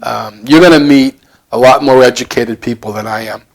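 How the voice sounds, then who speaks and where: A man in his seventies with a heavy Brooklyn accent, speaking in a halting, plain-spoken, self-deprecating way as he answers reflectively in an interview. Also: mid-range voice, vocal fry, poor audio. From Brooklyn, United States. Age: 70s. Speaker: interview guest.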